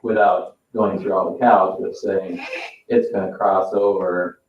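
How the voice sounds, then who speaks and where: Low, even-voiced man in an echoey room. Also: measured pace. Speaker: board meeting participant reviewing technical matters. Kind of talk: informal technical discussion at a public meeting. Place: echoey meeting room, picked up from afar. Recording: roomy, distant, muffled meeting audio with noise.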